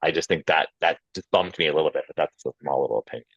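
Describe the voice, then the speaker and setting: Casting: male moderator, deep voice, informal and encouraging, dull call audio. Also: rapid-fire, gravelly, a bit bright, husky, no echo. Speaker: host moderating a script feedback session. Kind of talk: casual facilitation and critique. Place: online video call.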